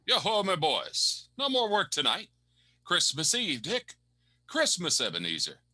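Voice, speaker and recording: A man's voice: a little high, wildly swooping pitch, a little slow, bright, forceful tone, voice pushed up, exaggerated voice. A man playing a jovial, hearty old merchant who exuberantly calls out to his apprentices in a radio play. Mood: merry, hearty. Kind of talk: stage-style radio play acting.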